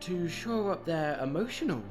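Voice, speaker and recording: A man's voice: high voice, lively intonation, moderate pace, music in the background. A man voicing a scheming mad-scientist platypus in a comic dub, pompous, articulate and condescending in a theatrical way. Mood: musing, frustrated.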